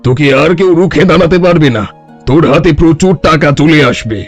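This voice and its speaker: A man voicing a boastful wandering holy man in a cartoon, gravelly, scolding and making grand prophecies. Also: on the high side, a little fast, faint music behind. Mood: commanding, promising. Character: bossy, boastful wandering holy man demanding food and shelter. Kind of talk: Bengali cartoon story voice acting.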